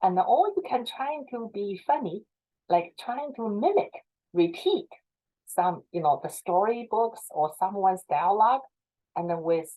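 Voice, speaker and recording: A woman with a Chinese accent talks to students in an encouraging, teacherly way, her voice lively in pitch. The tone is mellow and a little husky. She often restarts and repeats phrases, heard over a video call. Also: poor audio. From China. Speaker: visiting professor. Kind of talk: answering student questions.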